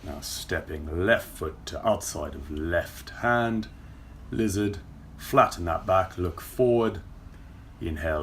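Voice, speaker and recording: Casting: young male movement coach, deep gravelly voice, steady breath cues, faint hiss behind. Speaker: yoga and movement instructor. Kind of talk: guided workout instruction. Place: indoor car park with brick wall. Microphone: far camera.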